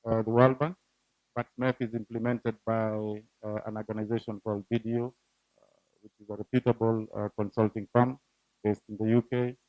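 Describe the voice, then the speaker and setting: Halting man presenting, muffled dry sound. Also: lowish voice, level tone, dry recording. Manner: halting, many uh fillers, volume drifts up and down. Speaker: program team leader presenting at a donor event. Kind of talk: formal presentation.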